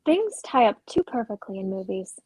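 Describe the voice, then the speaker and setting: Woman playing a husky, practical young woman. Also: medium pitch, sing-song, normal speed, a little mellow, low-quality recording. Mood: skeptical, wry. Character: practical, skeptical young woman, gently sympathetic. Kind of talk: dramatic script reading.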